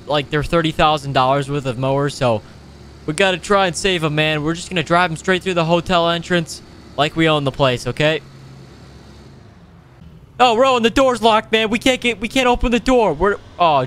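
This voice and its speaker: A young man doing casual, excitable roleplay commentary over a video game, animated and slangy with frequent exclamations. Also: a little high, normal speed, slight background noise. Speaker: gaming video host.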